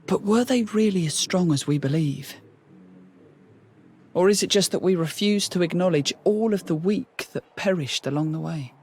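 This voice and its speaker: A man's voice: high-pitched, normal speed, darkish tone, slight background noise. A man playing a thoughtful, questioning young warrior companion in a video game, polite and reflective. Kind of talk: video game cutscene acting.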